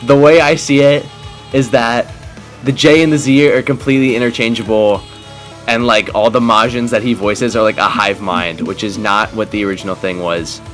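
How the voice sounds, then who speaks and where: A man's voice: medium pitch, fairly even intonation, normal speed. A young adult man chatting casually and enthusiastically in a rambling way, as a gaming host commentating with friends. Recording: background music under the voice.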